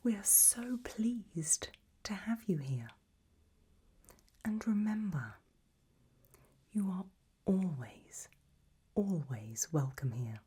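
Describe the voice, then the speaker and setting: Casting: woman to play elegant, macabre gothic matriarch for ASMR roleplay; low, breathy whisper, gracious and genteel. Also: animated, slow, pauses often, dark tone, heavily acted. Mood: welcoming, soothing. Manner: languid, formal, genteel.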